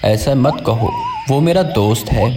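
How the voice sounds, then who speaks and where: A man's voice: mid-range voice, animated, unhurried, over music, close to an everyday voice. A man voicing a loyal but torn crocodile in a children's story, earnest and reasoning. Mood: protesting.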